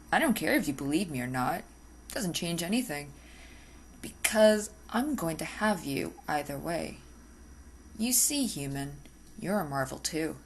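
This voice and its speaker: A woman voicing an obsessive, possessive but politely spoken female vampire lab technician in an ASMR roleplay, with teasing, hesitant asides. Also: medium pitch. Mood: possessive, obsessed. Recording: faint background noise.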